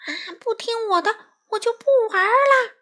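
A woman's voice: fairly high pitch, lively intonation, moderate pace, a bit bright, breathy edge. A woman voicing a bossy, boastful, hot-tempered young male bird in a children's story, with an indignant, squabbling manner. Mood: stubborn, furious.

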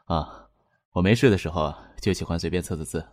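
An actor playing an eerie, matter-of-fact fortune teller in an audio drama, speaking in a measured, solemn, unyielding way. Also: male voice, sits low, no room sound, studio quality, natural voice. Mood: calm, self-assured. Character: eerie, matter-of-fact male fortune teller who divines from written characters. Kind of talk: Chinese audio drama acting.

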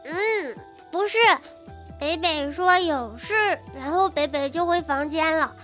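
A very high, bright child's voice over music. She is a sweet, talkative little girl in an audio drama, earnest and childlike as she explains things. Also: measured pace. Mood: earnest, innocent. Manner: childlike, hesitant recounting, refers to self by nickname. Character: sweet chatty little girl telling mother about her day. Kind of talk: modern audio drama acting. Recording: soft music behind the voice.

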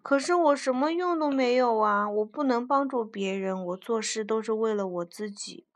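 A woman's voice: a little high, moderate pace. Glum, sulky small boy, voiced by a woman. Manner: sulky, muttering.

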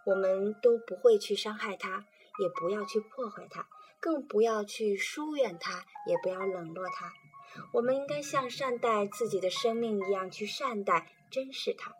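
A woman presenting and reading children's poetry in a calm, gentle storytelling manner. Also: medium pitch. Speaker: reader and presenter.

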